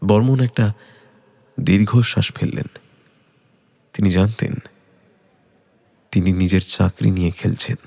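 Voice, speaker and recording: A man's voice: fairly low pitch, measured pace, gravelly, long pauses, darkish tone. A man giving dramatic scripted narration of a detective story.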